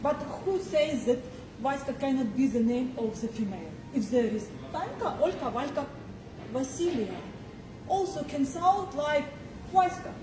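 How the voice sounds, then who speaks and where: A woman's voice: high voice, animated. Boastful female flying cat, acted by a woman, theatrical storytelling; distant mic in a noisy room. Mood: playful, proud. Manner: theatrical, deliberate, playfully boastful. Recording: distant roomy pickup with clear noise.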